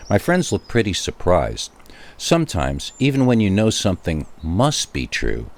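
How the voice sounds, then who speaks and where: A man's voice: sits low, huge pitch swings, unhurried. A man narrating a first-person scary story in a dramatic but conversational way, with wry asides to the listener.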